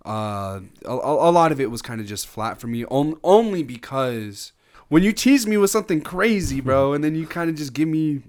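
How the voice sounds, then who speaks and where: A man's voice: a little high, expressive, moderate pace. A young man co-hosting a casual music podcast, talking loosely with lots of fillers and restarts. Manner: rambling, many fillers and restarts. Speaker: podcast co-host. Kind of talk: casual album review chat.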